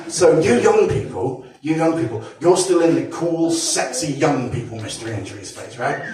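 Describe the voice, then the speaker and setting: Man in his thirties, lively swooping delivery, stand-up set, echoey club recorded from a distance, laughing crowd. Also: fairly high pitch. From Devon, England. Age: 30s. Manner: self-mocking, animated, swearing, stammering restarts. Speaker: stand-up comedian. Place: comedy club stage with live audience.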